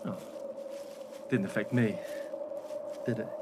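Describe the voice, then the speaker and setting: An actor playing a calculating, glib hotel manager who is secretly a werewolf, in a radio play. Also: male voice, on the low side, steady tone, slow pace. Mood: sly, evasive. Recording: noisy recording with loud background ambience.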